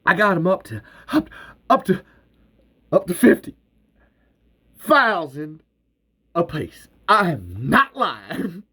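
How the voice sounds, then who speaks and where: A man reading out a screenplay, voicing an excitable scheming male hustler who pitches high and loud. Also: slow. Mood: excited.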